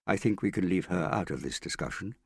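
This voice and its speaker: A male narrator plays a worried young daughter, earnest and stubborn. The voice is husky and lively in pitch, with a serious, plain-spoken insistence suited to audiobook dialogue. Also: fast, no room sound, studio quality, exaggerated voice. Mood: irritated.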